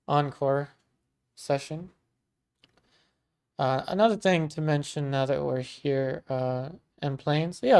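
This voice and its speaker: A man in his thirties from Maryland, teaching painting on a casual livestream and chatting with viewers. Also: on the high side. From Maryland, USA. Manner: relaxed and chatty, many ums and restarts, warm thanks to viewers. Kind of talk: casual livestream chat, reading viewer comments.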